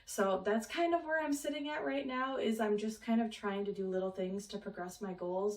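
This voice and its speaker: A woman's voice: medium pitch, a little mellow. Chatty woman, echoey room, mic far off. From Minnesota, United States. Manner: rambling, many ums, light laughs. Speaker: vlogger. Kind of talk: casual chat. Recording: roomy, from off the mic.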